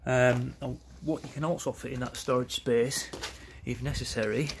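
Gravelly-voiced man giving a product walkaround. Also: medium pitch, fairly even intonation, normal speed, slight background noise. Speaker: product presenter. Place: concrete yard outdoors by outbuildings. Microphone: handheld phone behind the camera.

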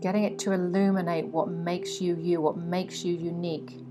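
A woman guiding a meditation in a soothing, instructive way, with frequent pauses between phrases. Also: fairly low pitch, level tone. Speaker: guided meditation teacher. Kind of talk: guided meditation narration. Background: meditation music with singing bowls.

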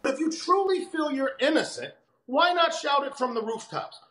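High-voiced American man in an echoey room. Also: expressive, normal speed, sharp tone. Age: middle-aged. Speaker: attorney, interviewee. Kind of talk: video interview opinion. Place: room at home. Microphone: video call. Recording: echoey room over video call.

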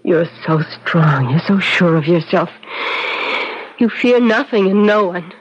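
An actress playing a mysterious, guarded young bride, solemn and measured, with an intense, fearful edge, in a 1970s American radio drama. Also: female voice, on the low side, lively intonation, breathy edge. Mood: fearful, tender. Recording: muffled vintage radio broadcast with music.

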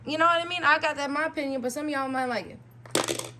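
Blunt, higher-pitched American woman vlogger, noisy recording. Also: quick pace. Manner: blunt, slangy, emphatic with repetition. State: voice worn out, losing it. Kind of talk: casual chat to viewers, product review.

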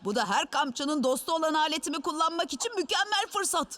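A woman's voice: high voice, lively intonation, fairly quick, background noise. A woman voicing a cocky, impatient ten-year-old boy hero in a cartoon dub, brash and boastful. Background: music.

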